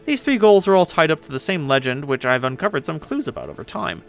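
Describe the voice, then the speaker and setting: A man's voice: fairly high pitch, sing-song, fast, vocal fry, faint music behind. A man voicing a brash, sarcastic, boastful female pirate ghost in a dramatic story reading. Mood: boastful, excited. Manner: impatient, sarcastic, swearing.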